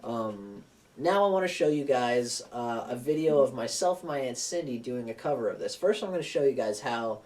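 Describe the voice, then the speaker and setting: A young man giving a relaxed guitar lesson, rambling with plenty of fillers and restarts as he talks through chords and keys. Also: medium pitch, normal speed, far from the mic. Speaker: guitar teacher. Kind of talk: casual guitar lesson with singing. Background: acoustic guitar.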